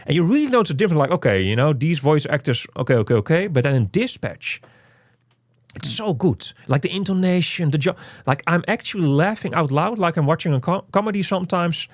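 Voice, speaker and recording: A man's voice: on the high side, expressive, fairly quick, dry recording, clean studio sound. A man co-hosting a casual game review chat, rambling and joking with many restarts. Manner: rambling, jokey, self-interrupting. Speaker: co-host of a game review show.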